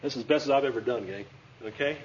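A man's voice: mid-range voice, quick pace, lo-fi sound, slight background noise. A man teaching a class at a whiteboard, chatty and joking, checking in with his students with a frequent "okay?".

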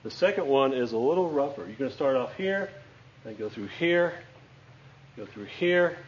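A man's voice: medium pitch, animated, normal speed. A man teaches a class in a chatty, joking manner, checking in with his students often. The recording is slightly dull and lo-fi, with faint hiss under the voice. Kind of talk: classroom lesson at a whiteboard.

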